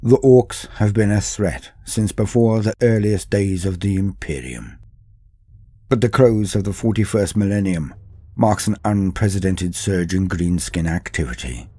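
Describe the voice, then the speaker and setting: Deep dark-voiced male lore narrator. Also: expressive, measured pace, breathy edge, no echo. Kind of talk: scripted narration.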